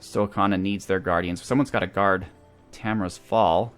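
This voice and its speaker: Low-voiced man doing casual game commentary. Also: fairly even intonation, moderate pace, faint music behind. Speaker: let's play host. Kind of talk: casual gameplay commentary, thinking aloud.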